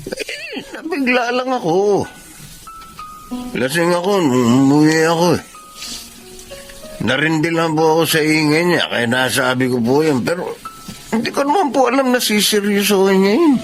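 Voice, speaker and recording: A man's voice: a little high, big pitch swings, normal speed, pauses often, faint music behind. A Filipino man playing a remorseful, hard-drinking husband, pleading and hesitant in radio drama style. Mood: sheepish, remorseful. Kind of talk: emotional radio drama acting.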